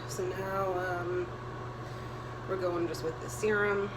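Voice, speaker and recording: Deep-voiced woman chatting candidly. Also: steady tone, slow, very noisy. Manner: candid, chatty, plain-spoken. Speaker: vlogger. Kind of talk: casual get-ready-with-me chat.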